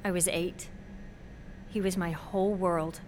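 A woman's voice: fairly low pitch, steady tone, a little slow, a little mellow, a little hiss or hum, natural voice. An actress playing a sheltered, anxious young female college student who speaks candidly in a naturalistic audio drama. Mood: anxious, confiding. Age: young adult.

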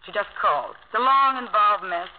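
An actress playing a lonesome, proud, flirtatious wealthy woman in 1950s radio drama style, cool and sardonic with sharp comebacks. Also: medium pitch, a little fast, lightly acted. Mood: evasive. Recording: crackly narrow-band 1950 radio broadcast.

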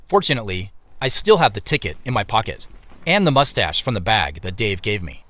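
An actor playing a weary, wry man who narrates his own secret quest in an audio drama, in a measured way. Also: lowish voice, big pitch swings, pushed and bright, no room sound. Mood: measured, watchful. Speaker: narrator and lead character. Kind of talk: first-person audio drama narration and dialogue.

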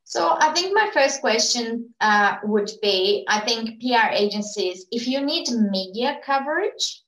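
A woman's voice: a little high, animated, measured pace, fairly bright tone, roomy sound, poor audio. A woman who runs a PR agency, an immigrant whose first language is not English, answering interview questions earnestly with many fillers and restarts. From immigrant to Australia. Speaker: PR agency owner, guest.

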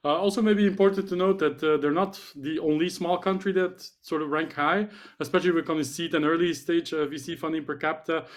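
The male host of a business podcast, somewhat high-pitched, chatting with guests and putting questions to them. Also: fairly even intonation, brisk. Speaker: host, interviewer. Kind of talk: conversational interviewing.

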